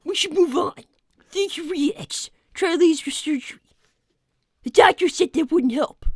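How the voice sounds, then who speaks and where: A woman's voice: very high voice, measured pace, no room sound. A woman voicing a precocious, snarky five-year-old cartoon boy with pompous, formal phrasing on an in-character gaming livestream. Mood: dry. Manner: pompous, deadpan, formal wording beyond his age.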